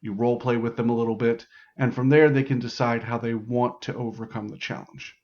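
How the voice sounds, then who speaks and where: A man's voice: medium pitch, steady tone, brisk, darkish tone, dull audio. A man giving an improvised, casual tutorial as a game host, informally thinking aloud in loose phrases. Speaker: host explaining how to write larp game modules.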